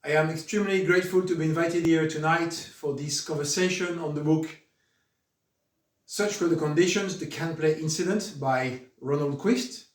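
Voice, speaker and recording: A middle-aged French man with a French accent, giving a formal spoken introduction at a book discussion, earnest and halting, with frequent fillers. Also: fairly high pitch. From France. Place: room with an audience. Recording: echoey room, mic at a distance.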